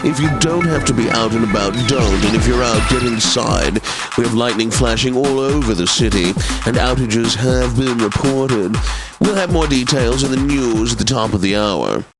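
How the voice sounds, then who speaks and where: An actor playing a wry, pompous classical radio DJ in a video game, delivering announcements in a polished, theatrical manner. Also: male voice, medium pitch, expressive, moderate pace, loud music behind the voice, close to an everyday voice. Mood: dry announcing. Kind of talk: radio weather reports and station idents.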